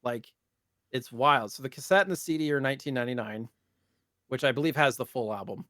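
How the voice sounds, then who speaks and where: A man with a mid-pitched voice chats as a podcast co-host. His delivery is loose and rambling, recorded close and dry with no room sound. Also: brisk, a bit bright, dry recording. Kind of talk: casual chat about retro gaming news.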